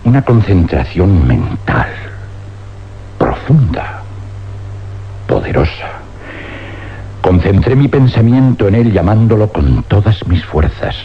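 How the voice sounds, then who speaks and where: An actor playing an intense, obsessed scientist, phrasing dramatically and emphatically in old radio drama style. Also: male voice, fairly low pitch, very animated, slow, pauses often, slight background noise, heavily acted. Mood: grave, intense. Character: intense, obsessed scientist doctor, haunted and insistent. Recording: muffled, faintly humming old radio recording.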